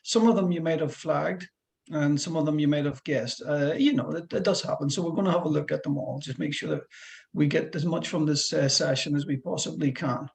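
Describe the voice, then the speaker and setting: A man's voice: on the high side, a little fast, creaky. A middle-aged man with a Fife Scottish accent, a driving instructor explaining things in a chatty, rambling way with many restarts. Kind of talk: one-to-one lesson over a call.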